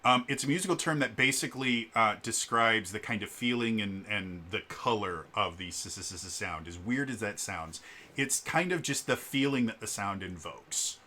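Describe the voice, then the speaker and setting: A man with a very low voice casually explaining singing in a vlog, often halting with fillers and stammered restarts. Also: lively intonation, bright, forceful tone. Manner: shifts to gravelly vocal fry demonstrations.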